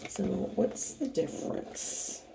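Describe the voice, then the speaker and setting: A middle-aged woman with a hoarse voice from a cold, chatting casually and rambling as she unboxes craft kits for her channel. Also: slow pace, warm tone, slight background noise. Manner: rambling, chatty, many fillers and restarts.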